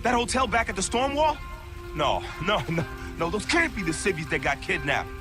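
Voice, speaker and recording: An actor playing an agitated soldier in a dramatic video game scene. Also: male voice, high voice, big pitch swings, moderate pace, over music. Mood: shocked disbelief.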